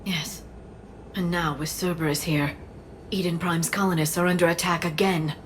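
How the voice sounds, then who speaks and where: Female actor as alien scholar, slightly low soft voice, measured, solemn, faint ambience, video game. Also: fairly even intonation, slight background noise, natural voice.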